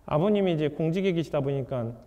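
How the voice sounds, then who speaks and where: A man giving an author's lecture to an audience, putting rhetorical questions to the room in a lively way. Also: on the high side, quick pace, dull audio. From South Korea. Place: lecture hall. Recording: reverberant lecture hall.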